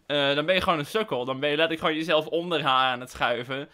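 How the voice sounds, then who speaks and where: A man's voice: a little high, rapid-fire, pushed and bright. A young Dutch man giving joking, sarcastic commentary over a dating game. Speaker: gaming commentator. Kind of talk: casual gameplay commentary.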